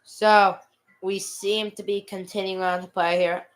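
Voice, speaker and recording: A woman with a clear, smooth voice commentates a hockey game live. She mixes play-by-play with casual chat as a watch party host. The sound is dry and close, on a headset mic. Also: mid-range voice, level tone, measured pace, no room sound.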